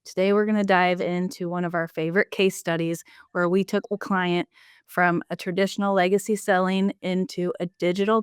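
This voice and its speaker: A woman's voice: lowish voice, smooth, no echo, clean studio sound. A woman hosting a business podcast discussion in a polished, upbeat corporate manner. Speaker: podcast host.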